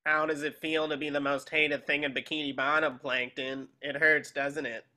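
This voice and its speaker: Man acting a cartoon squid cashier, sour, put-upon, very sharp tone, highish pitch. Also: little pitch movement, normal speed. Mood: bitter. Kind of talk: animated cartoon table reading.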